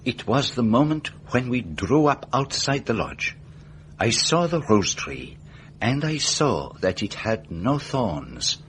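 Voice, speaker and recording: An actor playing a meticulous, self-assured detective in a radio mystery drama, setting out a case deliberately with rhetorical questions. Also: male voice, medium pitch, very animated, fairly bright tone, a little hiss or hum. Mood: measured, revealing.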